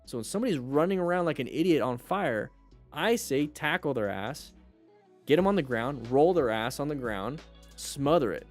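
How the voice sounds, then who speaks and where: Male livestream host, mid-range voice, blunt and joking, animated casual chat with viewers, music underneath. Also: mid-range voice, moderate pace. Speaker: firefighter.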